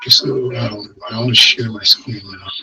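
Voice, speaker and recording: A man from Nigeria with a Nigerian accent giving a webinar presentation over a video call, his voice hoarse and congested from a cold and cough. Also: fairly low pitch, fairly even intonation, moderate pace, bright, forceful tone, faint music behind, rough recording. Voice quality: husky. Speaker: guest speaker, IT project manager. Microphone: online video call microphone.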